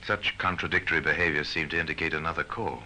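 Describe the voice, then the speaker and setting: An actor playing a sardonic, portentous male narrator of an old-time radio crime drama, measured and wry. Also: fairly even intonation, bright, forceful tone, exaggerated voice. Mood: wry, ominous. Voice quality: very deep, gravelly. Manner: measured, wry, ominous storytelling. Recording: noisy vintage radio recording.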